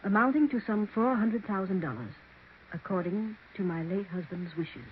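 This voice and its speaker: An actress playing a haunted widow who insists on every word. Her delivery is deliberate and dramatic, in vintage radio mystery style, with a thin phone-like band and light hiss. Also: mid-range voice, natural voice. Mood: firm, defiant. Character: haunted, insistent widowed aunt, firm and strange. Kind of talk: 1940s radio mystery acting. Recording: tinny, hissy 1940s radio recording.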